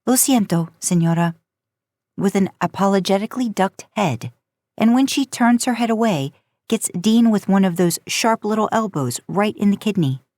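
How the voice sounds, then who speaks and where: A woman's voice: medium pitch, moderate pace, a little mellow, dry recording, clean studio sound. A woman reading a story aloud in audiobook-style narration. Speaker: podcast host and fan fiction narrator.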